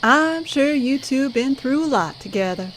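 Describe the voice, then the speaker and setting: Actress as motherly band director for audio drama: highish voice, slow delivery, folksy reassurance. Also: lively intonation, fairly bright tone, a little hiss or hum, low-quality recording. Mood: reassuring. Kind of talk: audio drama character acting.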